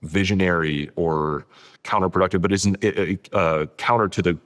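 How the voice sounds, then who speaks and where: A man's voice: sits low, steady tone, normal speed, fairly bright tone. A man talking conversationally as an interview guest, explaining things and repeating words for emphasis. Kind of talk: conversational long-form interview talk.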